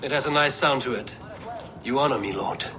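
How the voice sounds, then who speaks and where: An actor playing a steadfast Viking warrior, calm and solemn, in a dramatic game cutscene. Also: male voice, medium pitch, fairly quick, crowd noise, natural voice. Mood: resolute. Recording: orchestral music behind.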